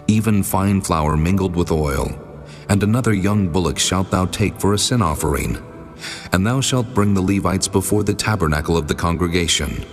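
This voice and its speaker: Casting: male actor for the Almighty, low solemn voice, commanding and measured, long reverb, scripture audio drama over music. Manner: stately, measured, authoritative pronouncements.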